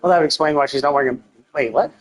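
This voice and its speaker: A man doing casual live game stream commentary, rambling and thinking out loud with casual swearing. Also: fairly high pitch, very fast. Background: faint game music.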